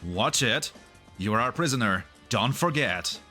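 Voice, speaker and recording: A man's voice: medium pitch, animated, unhurried, pushed and bright, faint music behind. A man playing a tense, suspicious fighter in an amateur sci-fi game fan dub. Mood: agitated, suspicious.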